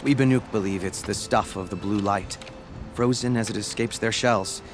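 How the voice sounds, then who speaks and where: A man voicing a humble, devoted young apprentice shaman who talks in a soft, measured way. His voice sits a little low, with a loud game score behind him. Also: steady tone, close to an everyday voice. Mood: earnest. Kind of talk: video game cutscene dialogue. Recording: game audio with loud music.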